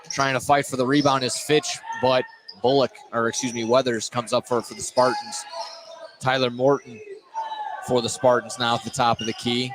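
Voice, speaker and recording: A man doing live play-by-play radio commentary of a basketball game. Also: medium pitch. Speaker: play-by-play announcer. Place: indoor basketball game, gym noise behind. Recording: noisy live gym ambience.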